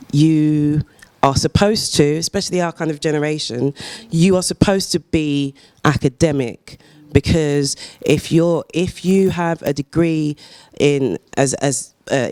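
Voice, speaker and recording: A woman's voice: deep voice, a little mellow, clear, resonant tone, dry recording. A woman artist speaking casually on a panel, chatty and self-deprecating, laughing often. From United Kingdom. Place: gallery discussion event.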